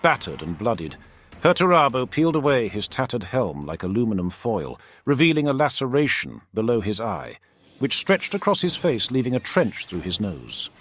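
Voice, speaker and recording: A man's voice: mid-range voice, huge pitch swings, moderate pace, a bit bright. A man narrating scripted fantasy fiction in a theatrical, intense storytelling manner. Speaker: audiobook-style lore narrator.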